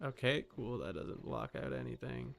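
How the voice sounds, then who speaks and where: A man's voice: medium pitch, big pitch swings, normal speed, vocal fry, a bit bright. Casual male game streamer over music. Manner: informal, teasing, swears casually. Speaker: livestreamer playing a game. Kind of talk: casual livestream chat with viewers. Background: background music.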